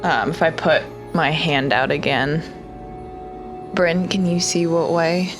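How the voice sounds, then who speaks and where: A woman's voice: sits low, steady tone, slow pace, over music. A woman playing a tabletop roleplaying game, casually moving between in-character lines and describing her actions.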